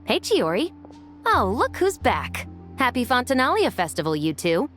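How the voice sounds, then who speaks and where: Lively, earnest young musketeer. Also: female voice, on the high side, normal speed, faint music behind. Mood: friendly. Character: earnest, dutiful young musketeer patrol officer woman. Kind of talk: video game dialogue.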